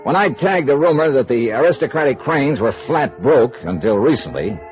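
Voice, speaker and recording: Actor as a wry, animated radio noir investigator. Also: male voice, mid-range voice, normal speed, lightly acted. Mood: wry narration. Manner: dry, sardonic, clipped hardboiled delivery. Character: wry, persistent male freelance insurance investigator. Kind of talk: 1950s radio noir acting and first-person narration. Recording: muffled vintage radio recording with music.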